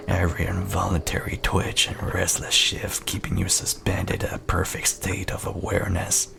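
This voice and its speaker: Casting: sinister possessive night entity, acted by a man, slow menacing whisper with heavy gravelly creak, for dark horror audio roleplay. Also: low voice, background noise, full character voice. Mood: taunting, savoring. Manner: slow, menacing, intimately taunting.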